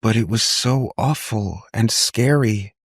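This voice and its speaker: A man's voice: on the low side, takes it slowly, breathy edge, dry recording, clean studio sound, voice pushed up, full character voice. A man voicing a frightened little girl in an audiobook story, hesitant and earnest in her distress. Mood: timid, uneasy. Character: frightened little girl, tearfully recounting a nightmare.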